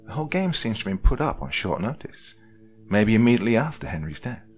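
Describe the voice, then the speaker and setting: A man voicing an earnest, sharp-minded young woman who questions insistently in a breathy way in a dramatic story. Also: lowish voice, very animated, fast talker, soft background music, pitched above the performer's own voice. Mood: urgent, suspicious.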